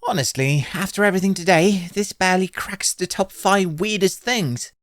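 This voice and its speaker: Male narrator doing a quick, high-voiced brave young girl. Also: a bit bright, no room sound, clean studio sound. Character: young brave heroine Lily, bold and upbeat.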